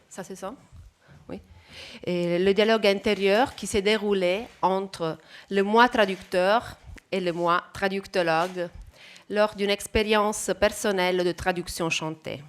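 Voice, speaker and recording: A woman from Italy, giving a careful, measured talk at an academic conference. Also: on the low side. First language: Italian. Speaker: conference speaker.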